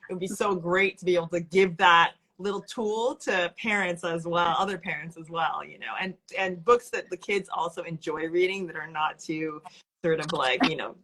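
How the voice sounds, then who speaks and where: A woman author chatting casually in a live-stream interview over a video call, relaxed and quick to laugh. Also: on the low side, poor audio. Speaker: author and illustrator guest.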